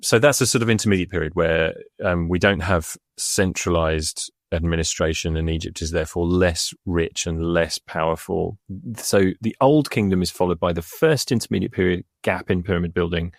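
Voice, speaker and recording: A man, an Egyptologist, explaining ancient history in a deadpan, measured way on a podcast, with many small fillers. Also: low-pitched, no echo, clean studio sound. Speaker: Egyptologist co-host.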